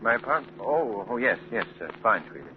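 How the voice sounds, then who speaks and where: An actor playing a composed, secretive wealthy gentleman who speaks in a measured, polished way, on a tinny, hissy 1940 radio broadcast. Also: mid-range voice, animated, natural voice. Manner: measured, polished, with small hesitations.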